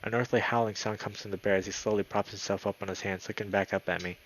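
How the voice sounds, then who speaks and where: A man streaming a let's play, reading a visual novel aloud in a dramatic, excitable way, sometimes stumbling over words. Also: on the low side, quick pace, a little hiss or hum, low-quality recording. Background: background music.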